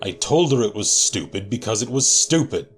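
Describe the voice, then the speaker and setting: A man acts out a gruff military marshal for an audio drama. He is blunt, stubborn and defensive, with a low voice that swings widely in pitch. Music plays clearly behind him. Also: expressive, normal speed, lightly acted. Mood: irritated, defensive. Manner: blunt, irritable. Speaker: voice actor. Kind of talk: audio drama dialogue and narration. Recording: music under the voice.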